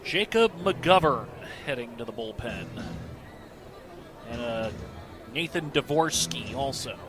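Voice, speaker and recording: A man's voice: medium pitch, expressive, slow, over music, a crowd in the background. A man giving casual live baseball commentary as a color analyst, conversational and offering his own opinions.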